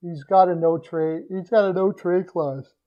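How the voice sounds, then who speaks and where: Hoarse man on a low-energy stream. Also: steady tone, moderate pace. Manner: low-energy, trailing off, hesitant restarts. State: hoarse, losing his voice. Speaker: live stream host. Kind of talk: casual hockey chat with viewers. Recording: dull lo-fi stream audio.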